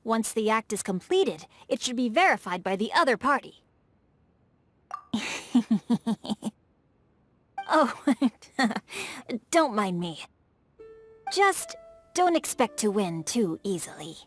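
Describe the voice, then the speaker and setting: Refined, bookish boy, high-pitched, music behind. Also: very animated, slow, pauses often. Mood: eager, playful. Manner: eloquent, formal phrasing with playful teasing. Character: refined, bookish, playful boy with a chivalrous streak. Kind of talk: video game character voice acting.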